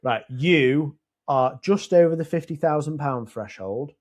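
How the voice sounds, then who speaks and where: A man's voice: fairly high pitch, unhurried, darkish tone. A British man co-hosting a business podcast, talking plainly and swearing as he lays out scenarios at length. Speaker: podcast co-host. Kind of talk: casual business chat. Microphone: podcast mic.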